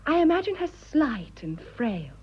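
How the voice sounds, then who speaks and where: A woman's voice: high-pitched, big pitch swings, normal speed, slight background noise. An actress with a British accent playing an earnest, hesitant, idealistic young wife in her twenties in a radio drama. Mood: dreamy, earnest. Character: romantic, idealistic, nervous young English wife of twenty-five. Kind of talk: stage comedy radio drama acting. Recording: slightly dull, lo-fi audio.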